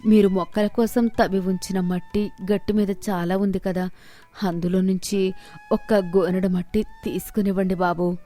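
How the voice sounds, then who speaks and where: A woman's voice: mid-range voice, flat delivery, normal speed, warm tone, natural voice. A woman voicing a shrewd, poor old village woman in a moral story, respectful in address but righteously firm, over music. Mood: humble pleading. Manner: pleading, respectful address building to stern rebuke.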